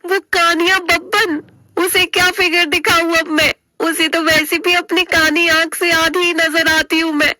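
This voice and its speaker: A woman's voice: very high-pitched, level tone, a little slow, sharp tone, no room sound, voice pushed up, heavily acted. A woman voicing a melodramatic young sister-in-law, indignant and comically aggrieved, wailing her complaints.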